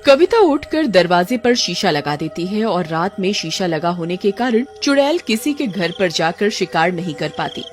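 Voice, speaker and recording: A woman's voice: on the low side, sing-song, normal speed, hardly any pauses. Woman narrating a story over music. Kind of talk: scripted children's story narration.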